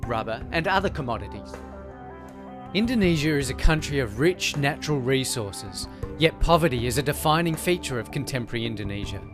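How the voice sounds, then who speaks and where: A man reading a scripted documentary narration in a steady, informative way. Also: mid-range voice.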